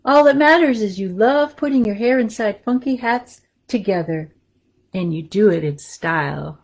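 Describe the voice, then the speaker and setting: A woman's voice: mid-range voice, expressive, normal speed, a little mellow. A woman hosting a story time for young children, reading aloud and chatting casually in simple, encouraging language.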